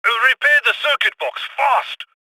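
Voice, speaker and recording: An actor playing a scrappy male heist handler in a video game, giving brisk, urgent instructions over radio comms. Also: extremely high pitch, pushed and bright, no room sound, exaggerated voice, poor audio.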